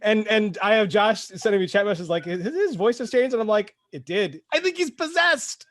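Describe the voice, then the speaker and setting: A man with a high voice co-hosts a casual podcast, laughing often and restarting his phrases. The sound is dry and close, with no room echo. He talks over a video call. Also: lively intonation, moderate pace, a bit bright. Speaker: podcast co-host. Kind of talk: casual chat.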